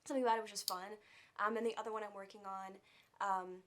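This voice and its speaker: Young adult woman, soft-spoken, candid interview guest, casual phrasing. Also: mid-range voice, fairly even intonation, quick pace. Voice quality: soft, quiet voice. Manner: open, candid, uses fillers like "like" and "um". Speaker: actress, guest.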